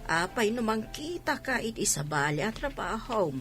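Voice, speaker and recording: A woman's voice: on the high side, very animated, normal speed, a bit bright, faint music behind. A woman with an Ilocano accent playing a concerned, insistent mother in a radio drama. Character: concerned, gently insistent mother of a tomboy daughter.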